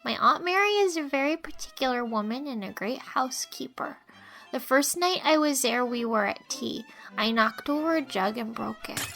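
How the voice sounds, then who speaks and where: A woman's voice: on the high side, gravelly, sharp tone, heavily acted, poor audio. A woman playing an earnest, clumsy schoolgirl, reading her composition matter-of-factly for an audiobook.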